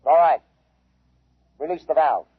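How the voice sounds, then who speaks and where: A brisk army major in a vintage radio play. He gives authoritative orders in a slightly high, rough voice. The sound is thin and tinny, like speech through a headset. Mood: tense, commanding. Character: brisk commanding army major briefing a suited team. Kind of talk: 1950s radio drama acting. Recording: tinny, phone-like 1950s radio recording.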